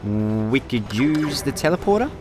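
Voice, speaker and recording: A laid-back, cocky Scottish space slacker played by a man in a radio comedy. The delivery is flippant and animated in pitch, with music clearly playing behind. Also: unhurried. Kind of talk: comic sci-fi radio play acting.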